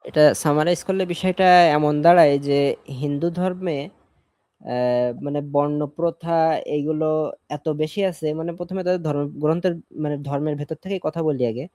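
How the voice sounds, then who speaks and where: A man with a fairly high voice speaks as a panelist in an informal live discussion. He explains his points at length, and the sound is dry and close. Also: a little fast, dark tone, no echo.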